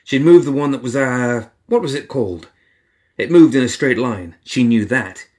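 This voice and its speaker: A man reading a fiction story aloud as an expressive audiobook narrator. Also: mid-range voice, moderate pace. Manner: expressive, takes on characters' inner voices.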